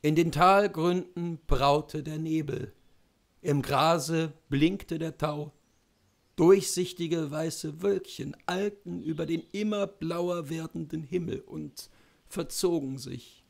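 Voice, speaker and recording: A man in his thirties reading a classic story aloud at a measured, unhurried pace in a room at home. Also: fairly high pitch, gravelly. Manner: slow, measured narration. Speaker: reader. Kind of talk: literary reading.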